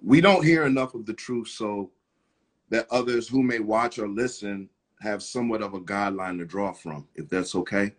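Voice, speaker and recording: Husky man interviewing, hesitant. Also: fairly low pitch, moderate pace. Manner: supportive, hesitant, many fillers. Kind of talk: interview talk.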